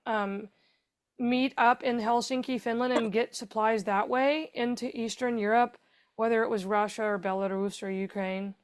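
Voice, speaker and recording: A woman's voice: a little high, fairly even intonation, moderate pace, clean tone. A woman guest on a podcast interview, telling her story in a chatty, rambling way with lots of fillers. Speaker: guest, foundation founder.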